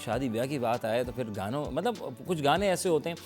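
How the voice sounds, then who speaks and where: A man's voice: mid-range voice, animated, fairly quick, faint music behind. A man with a Pakistani accent hosting a live festive television talk show, effusive and often repeating his phrases.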